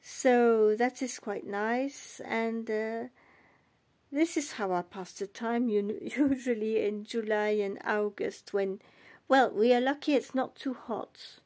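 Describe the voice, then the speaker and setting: Slow, soft-spoken English-born woman. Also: a little high. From England. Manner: soft, unhurried, switches between English and French. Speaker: doll collector vlogger. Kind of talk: casual bilingual vlog chat.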